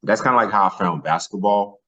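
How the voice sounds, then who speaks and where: A man's voice: on the low side, brisk, bright, forceful tone. A man from northern Nigeria, a podcast guest, telling his own story in a casual, colloquial way. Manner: colloquial, peppered with "man" and "you know". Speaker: podcast guest, former athlete.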